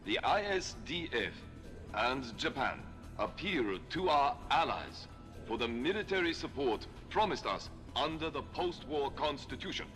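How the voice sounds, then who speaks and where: An actor playing a proud, stern Japanese admiral giving a formal, aggrieved public statement. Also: male voice, medium pitch, animated, measured pace, a bit bright, faint music behind. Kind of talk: formal political speech.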